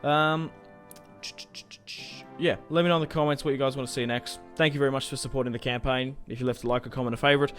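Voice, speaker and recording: A young man chats through a strategy game at an ordinary mid pitch. He rambles, swears casually and keeps it loose. Soft game music sits faintly behind his close desk mic. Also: steady tone, fast. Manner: chatty, rambling, swears casually, laughs at own moves. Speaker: gaming YouTuber. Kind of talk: casual let's-play commentary.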